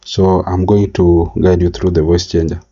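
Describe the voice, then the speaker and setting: A man giving a halting, step-by-step software tutorial as a voice-over. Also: low-pitched, level tone, breathy edge. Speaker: tutorial presenter. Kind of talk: screen-recorded software tutorial narration. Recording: slightly dull, lo-fi mic.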